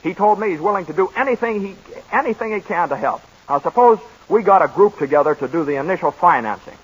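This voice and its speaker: An earnest, husky crusader. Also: male voice, high voice, animated, fast. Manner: urgent, persuasive, rallying. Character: earnest persuasive young man rallying a town. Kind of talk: 1940s radio acting. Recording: dull lo-fi 1940s radio broadcast.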